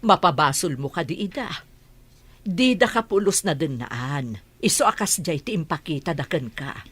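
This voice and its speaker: A woman playing an older aunt who advises her family in a measured, admonishing manner, in an Ilocano radio drama. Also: low-pitched, lively intonation, a bit bright, slightly rough, exaggerated voice. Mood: reproving. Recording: music under the voice.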